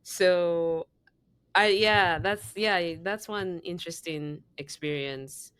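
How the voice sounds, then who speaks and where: A woman's voice: on the low side, slow pace, fairly bright tone, smooth, dry recording. A woman from Zambia co-hosting a podcast, telling a story in casual chat with many fillers and restarts. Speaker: podcast co-host.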